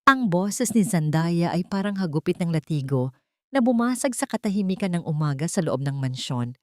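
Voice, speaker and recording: A woman's voice: lowish voice, lively intonation, normal speed, no echo, studio quality. A woman reading a dramatic scripted story as its narrator.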